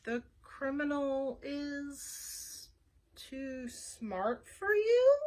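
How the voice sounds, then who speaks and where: A woman voicing an unhurried, helpful sloth from a children's storybook, with an extremely slow, drawn-out delivery. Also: sits high, very animated, a little mellow, full character voice. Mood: slow, calm.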